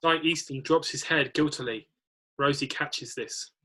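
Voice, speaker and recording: Casting: male narrator for a screenplay reading, bright forceful tone with a husky edge. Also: fairly high pitch, unhurried. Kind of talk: scripted reading of screenplay stage directions.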